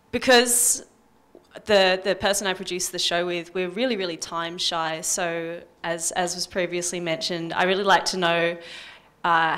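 A woman's voice: lowish voice, a bit bright. A young woman talking informally on a panel through a handheld microphone. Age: young adult. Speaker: radio show producer on a panel. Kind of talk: casual panel talk. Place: indoor workshop panel before a small audience.

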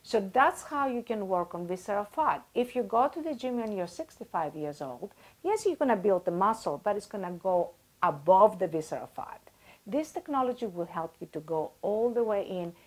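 A woman in her sixties explaining as a guest expert in an interview, animated and gesturing, using everyday comparisons, on a lapel mic in a small office. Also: mid-range voice, normal speed, poor audio. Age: 60s.

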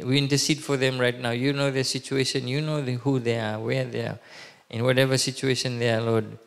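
A man in his thirties leads a congregation in prayer from the pulpit, speaking in a hesitant, halting way full of fillers. His voice is smooth but has a gravelly fry. The handheld mic picks him up in a church hall with a long reverb. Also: medium pitch. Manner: hesitant, many fillers and restarts. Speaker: church service leader. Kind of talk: leading prayer and church announcements. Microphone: handheld microphone.

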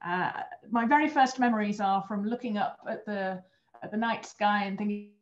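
A woman's voice: mid-range voice, moderate pace. European woman on a roomy, muffled video call. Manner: earnest, explanatory, with small restarts. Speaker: guest, former astronaut trainer. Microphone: webcam on video call.

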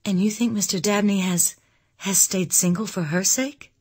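A woman's voice: medium pitch, normal speed, darkish tone, slightly rough, voice pushed up, close to an everyday voice. A woman voicing an impetuous, candid young woman in an audiobook, speaking lightly with breathless, emphatic earnestness. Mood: eager, curious. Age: young adult. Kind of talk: audiobook character dialogue.

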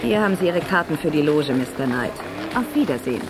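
Cool, low-voiced businesswoman. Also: very animated, quick pace, loud music behind the voice. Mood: cool, guarded. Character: cool, guarded businesswoman running a race registration. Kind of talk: audio drama acting. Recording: noisy old cassette recording.

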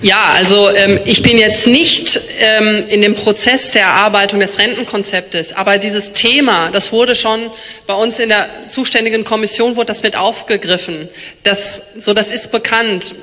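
A woman's voice: mid-range voice, fairly even intonation, normal speed, pushed and bright. A woman on stage answering an audience question as a politician, using a handheld mic and hesitating with many fillers. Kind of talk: public Q&A answer. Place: large hall, on stage. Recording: echoey hall.

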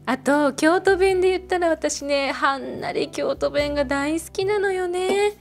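A woman's voice: sits high, unhurried, soft background music. A woman playing a chatty snack-bar hostess, gushing and talking in a feminine way to an unseen customer. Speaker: bar hostess in a role-play. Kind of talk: one-sided role-play with an unseen customer.

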